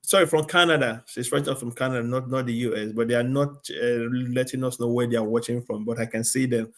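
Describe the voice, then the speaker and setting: A man's voice: medium pitch, fairly even intonation, quick pace, fairly bright tone. A man hosting a live stream, affirming his guest and thanking viewers, with frequent stammers and laughs. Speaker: host.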